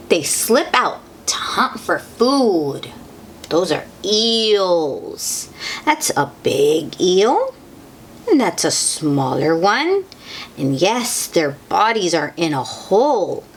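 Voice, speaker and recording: Woman for children's read-aloud: very slow delivery, lively swooping intonation, simple questions to the young listener. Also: on the low side, a little hiss or hum. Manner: child-directed, asks the listener questions, exclaims in wonder. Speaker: host reading picture books aloud to children.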